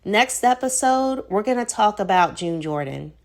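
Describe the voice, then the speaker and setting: A woman's voice: medium pitch, expressive, normal speed. An American woman with a Southern accent hosting her own podcast, talking casually and reflectively with many fillers. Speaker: podcast host. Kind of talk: solo podcast monologue.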